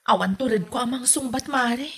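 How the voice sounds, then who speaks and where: A woman's voice: on the high side, a little fast, fairly bright tone, breathy edge. A woman playing an exasperated young wife in a comedy drama, complaining melodramatically with a northern Philippine accent. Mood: weary, aggrieved. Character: exasperated young wife fed up with her meddling mother-in-law. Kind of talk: comedy radio drama acting.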